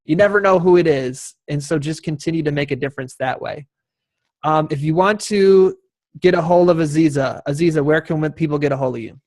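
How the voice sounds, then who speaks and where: Higher-pitched man moderating a panel. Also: brisk, a little mellow, dry recording. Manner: courteous, thankful, devout phrasing. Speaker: moderator of a town hall. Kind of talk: live online panel hosting, addressing guests and audience.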